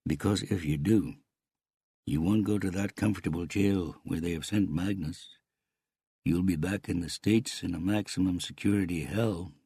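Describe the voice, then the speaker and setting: Menacing middle-aged Canadian uncle, played by a male narrator, very deep and husky, measured threats in an audiobook thriller. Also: dark tone, no echo. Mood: quietly threatening.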